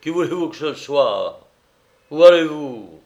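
A flustered, dismayed manservant, acted by a male narrator in a storybook reading. The voice jumps up high and pleads hastily with his master. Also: animated, measured pace, a little mellow. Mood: hasty, alarmed. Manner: hasty, pleading. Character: anxious, dismayed male servant of a drunken master.

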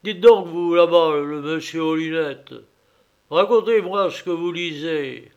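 A man voicing a rowdy drunk masked man who bosses and mocks everyone in a loud, jeering way. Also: fairly high pitch, a little slow, smooth, muffled recording, pitched above the performer's own voice.

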